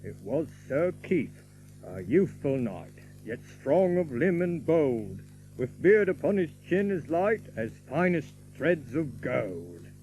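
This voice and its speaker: An actor playing a merry young outlaw who recites an old romantic ballad in lilting, theatrical archaic verse. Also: male voice, a little high, big pitch swings, slow pace, darkish tone, slight background noise, poor audio. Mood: lilting storytelling.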